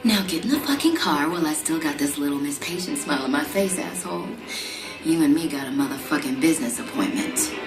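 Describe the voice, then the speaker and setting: Brash, streetwise assassin in echoey sound. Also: female voice, deep voice, animated, brisk. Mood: menacing, sarcastic. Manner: sassy, foul-mouthed, commanding. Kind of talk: motion comic voice acting. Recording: roomy sound with music underneath.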